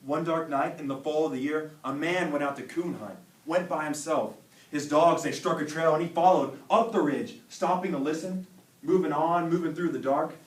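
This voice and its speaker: A man with a fairly high voice reads dramatically, like a storyteller turned preacher. His phrasing is deliberate and emphatic. He is recorded at a distance in a very echoey hall. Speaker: student performer reading a dramatic sermon.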